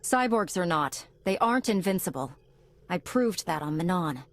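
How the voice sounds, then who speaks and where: An actress playing a tough, blunt, determined heroine in a space opera video game. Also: female voice, fairly low pitch, lively intonation, moderate pace, close to an everyday voice. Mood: determined, defiant.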